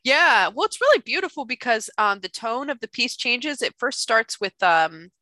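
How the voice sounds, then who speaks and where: A young woman co-hosting a casual opera podcast, animated and self-mocking, talking into a laptop on a call. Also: normal speed, no echo. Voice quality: deep for a woman. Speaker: podcast co-host. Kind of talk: casual chat about opera. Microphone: computer mic on video call.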